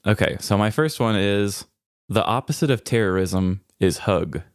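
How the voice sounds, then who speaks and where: Male comedy podcast co-host, low register, playful casual storyteller, close dry sound. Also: moderate pace, smooth, no echo.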